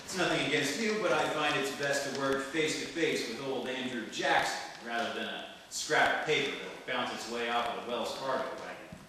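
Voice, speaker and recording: An actor playing a wry, smooth-talking hardboiled private detective in the manner of an old radio serial. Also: male voice, mid-range voice, brisk, pushed and bright, lightly acted. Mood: wry, businesslike. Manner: wry, flirtatious, world-weary patter. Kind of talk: 1940s detective radio serial acting, noir narration. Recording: roomy distant hall recording, faint noise.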